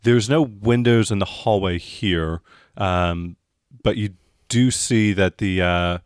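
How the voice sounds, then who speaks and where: A man narrating as game master of a tabletop role-playing game in a conversational, halting way. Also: lowish voice, clear, resonant tone, no room sound, studio quality.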